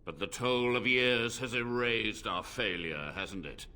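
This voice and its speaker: An ancient, forceful, slow-speaking blood mage. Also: male voice, mid-range voice, animated, clear, resonant tone. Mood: bitter, weary. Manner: formal, theatrical, measured. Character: ancient unrepentant blood mage, grave and proud. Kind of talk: fantasy video game acting.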